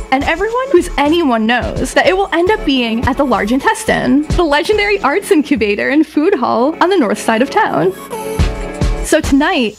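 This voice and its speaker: Female narrator, higher register, playful scripted storytelling, music bed underneath. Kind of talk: scripted audio drama narration.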